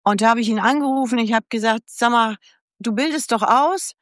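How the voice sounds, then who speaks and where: A woman's voice: a little high, fairly quick, a bit bright, no echo, clean studio sound. A woman around seventy, born in the United States, candidly telling her life story as a guest in a casual interview and acting out past conversations. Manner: candid, chatty storyteller who acts out past dialogue. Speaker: podcast guest.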